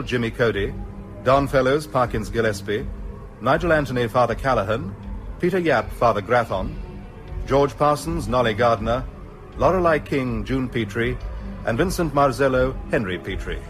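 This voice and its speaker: A man reading closing credits as a scripted announcement, formal and measured. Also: medium pitch, expressive, a bit bright, soft background music, poor audio.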